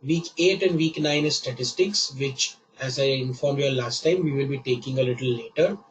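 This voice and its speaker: A man teaching a live online class while he has a cold, patient and repeating each step slowly. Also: mid-range voice, slight background noise, low-quality recording. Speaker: online course instructor.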